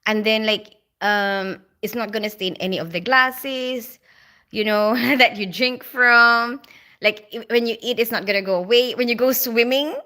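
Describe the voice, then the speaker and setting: A woman's voice: fairly high pitch, fairly even intonation, measured pace, pushed and bright. A young woman from the Philippines with a Filipino accent, chatting casually on a livestream and reading out viewer comments, full of "like" and "you know". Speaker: livestream host.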